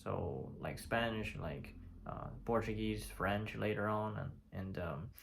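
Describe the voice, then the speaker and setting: A man in his twenties from China, whose first language is Chinese, talks to his vlog audience in a somewhat low, gravelly voice. He speaks in halting bursts, full of fillers and restarted sentences, in a plain casual tone. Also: a little hiss or hum. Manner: hesitant, many fillers and restarts. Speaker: vlogger. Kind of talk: casual talk to camera. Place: lives in Italy.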